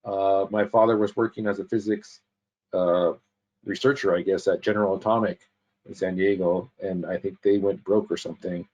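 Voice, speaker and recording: A man in his fifties speaks in a fairly low voice, rambling and correcting himself as he tells his story. The audio is dull and muffled, like a video call. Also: fairly even intonation, normal speed, darkish tone. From United States. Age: 50s. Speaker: podcast guest. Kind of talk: casual interview chat.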